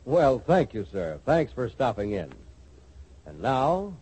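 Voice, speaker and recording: A man announcing and narrating a 1940s radio serial in a scripted, dramatic, measured manner. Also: medium pitch, sing-song, mellow, husky, poor audio.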